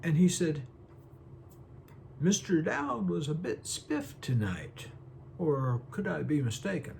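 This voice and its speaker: A man plays a gentle, dreamy eccentric from a small town in a theatrical film monologue. He is courteous and folksy, rambling slowly and hesitantly, with a pitch that rises and dips freely. A faint hum sits behind the voice. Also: very animated, creaky, full character voice. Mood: quietly wondering.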